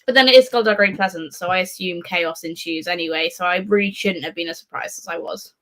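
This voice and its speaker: A woman's voice: fairly low pitch, fast, a bit bright. A woman in a casual live book discussion, rambling along in a wry, sweary way. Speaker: book readalong panellist.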